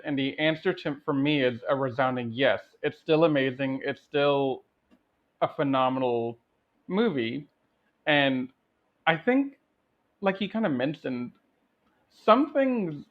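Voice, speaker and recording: A man with a mid-range voice chats casually about movies as a co-host. He sounds reflective and appreciative as he thinks out loud. His microphone gives a slightly muffled, lo-fi sound. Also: mid-range voice. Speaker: podcast co-host. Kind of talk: casual film discussion.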